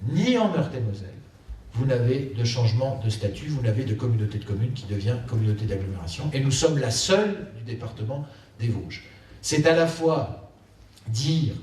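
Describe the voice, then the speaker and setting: A man giving a political speech as a local elected official, measured and emphatic, repeating key phrases for stress. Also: mid-range voice, a little mellow. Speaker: local elected official addressing a town council. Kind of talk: political speech at a council meeting. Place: council chamber, indoors. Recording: echoey room, distant mic, faint background noise.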